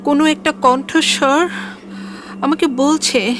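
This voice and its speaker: A woman playing a weak, frightened hospital patient in an audio drama, speaking haltingly, word by word. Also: high-pitched, fairly even intonation, background noise. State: weakened, labored.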